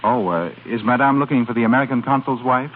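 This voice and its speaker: An actor playing a suave young man in 1930s radio drama style, with a smooth, amused and lightly teasing manner. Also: medium pitch, fast, phone-quality sound, natural voice. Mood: polite, wry. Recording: thin, noisy 1930s radio recording.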